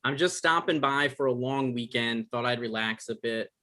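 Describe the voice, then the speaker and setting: A man's voice: mid-range voice, normal speed, pushed and bright, lightly acted. An actor playing an awkward, evasive novelist in his thirties, stammering through naturalistic comedic dialogue in a table read. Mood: irritated. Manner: hesitant, stammering. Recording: online live-stream table read.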